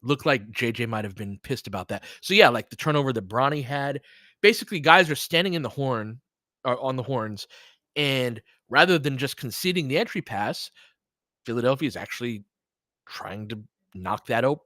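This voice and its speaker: A man's voice: mid-range voice, a bit bright, no echo, clean studio sound. A man doing live audio play-by-play of basketball, mixing conversational analysis with replies to listeners as he thinks aloud. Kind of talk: live basketball commentary mixed with casual analysis and chat replies.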